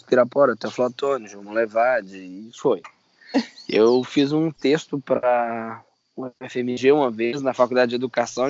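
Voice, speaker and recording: A man in his thirties from Minas Gerais, Brazil, with a Minas Gerais accent, guesting on a podcast and telling stories in a relaxed, self-deprecating way. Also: medium pitch. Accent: Minas Gerais Brazilian Portuguese. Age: 30s. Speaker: podcast guest, biologist and reptile breeder. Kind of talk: casual interview chat. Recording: dull lo-fi sound, dry.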